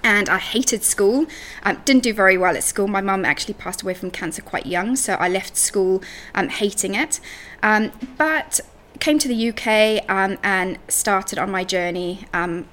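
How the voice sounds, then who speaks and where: A woman's voice: medium pitch, a little slow, fairly bright tone. A woman from Zimbabwe speaking as a podcast guest and coach in a conversational interview.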